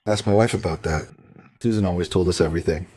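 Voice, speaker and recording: Husky, deep-voiced grieving father. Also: fast, warm tone. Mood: guarded, subdued. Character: grieving, well-off father, subdued and polite. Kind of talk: crime radio play acting.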